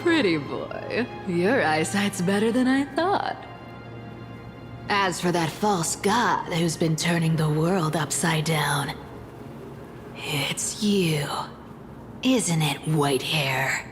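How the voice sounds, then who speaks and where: An actress playing a mocking, playful catlike trickster demigod in a video game. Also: female voice, on the low side, lively intonation, slow pace. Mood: taunting. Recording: game audio with long reverb and music.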